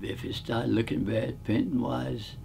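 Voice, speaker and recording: A man's voice: mid-range voice, steady tone, unhurried, vocal fry, background noise. A man in his nineties reminiscing in casual conversation, mostly answering in short agreeing replies. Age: 90s. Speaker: interviewee.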